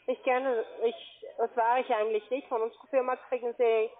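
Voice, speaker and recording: A woman working as a call centre agent, arguing defensively in halting, non-native phrasing with simplified grammar. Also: fairly high pitch, little pitch movement. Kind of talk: unscripted phone argument. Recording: band-limited telephone line.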